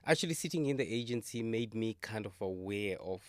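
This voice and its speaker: A man from Zimbabwe, a talent agent and podcast guest, telling his story in a relaxed way and dropping in isiZulu words. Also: lowish voice, fairly quick, no room sound. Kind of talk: casual podcast interview.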